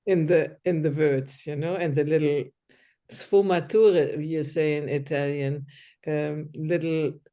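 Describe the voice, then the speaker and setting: A woman with a soft, mellow tone, German being her first language, talks in a relaxed group conversation. She speaks hesitantly, restarting sentences and hunting for the right words. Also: deep voice, a little slow. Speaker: discussion group member. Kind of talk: casual conversation.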